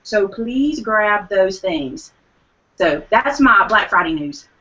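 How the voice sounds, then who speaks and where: Eager woman host, bright, slightly high, losing her voice, lo-fi stream. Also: lively intonation, takes it slowly. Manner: enthusiastic deal announcer. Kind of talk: live online craft show chat.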